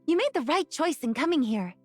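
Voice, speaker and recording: An actress playing a kind, forthright young shepherdess from a grassland tribe in a romance game. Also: female voice, high-pitched, big pitch swings, a little fast, soft background music. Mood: friendly. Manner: bright, forthright, welcoming. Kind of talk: game character dialogue.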